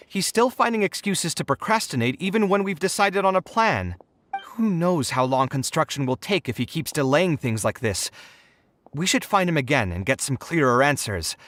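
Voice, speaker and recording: An actor playing a frank, articulate, slightly exasperated architect in video game dialogue. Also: male voice, medium pitch, a bit bright, no echo, natural voice. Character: adult male architect, frank, weary, slightly exasperated professional.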